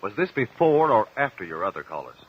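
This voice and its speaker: A man's voice: on the low side, sing-song, brisk, slightly rough. An American man playing a courteous, probing attorney who questions witnesses formally in a mid-century radio drama. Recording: dull, lo-fi old radio recording.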